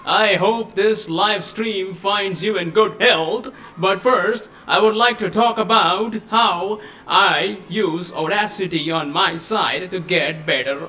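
Excitable man hosting a live tutorial. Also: high-pitched. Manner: excitable, exclamatory, chatty. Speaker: tech tutorial host. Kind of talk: casual live-stream tutorial. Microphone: USB studio microphone.

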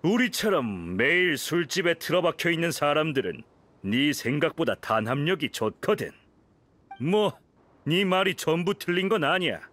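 An actor playing a smug, scheming desert thug in a video game, sneering and gloating. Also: male voice, fairly high pitch, very animated, normal speed, a bit bright. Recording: music behind the voice.

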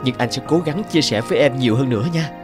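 A man's voice: medium pitch, fast, natural voice. A man voicing an apologetic, unromantic cartoon husband who playfully coaxes his wife. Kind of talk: cartoon dialogue. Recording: music behind the voice.

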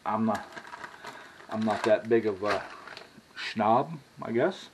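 Folksy older American man, noisy kitchen recording. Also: on the low side. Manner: folksy, drawn-out asides with y'all. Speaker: home cook hosting a cooking video. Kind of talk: casual cooking demonstration. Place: home kitchen, indoors at the stove.

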